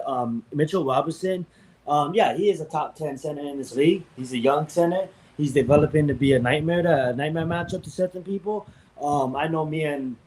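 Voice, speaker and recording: Male podcast co-host from Hawaii, middle pitch, casual and hesitant, lots of ums. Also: low-quality recording. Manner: hesitant, many ums and self-corrections. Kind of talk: casual sports debate.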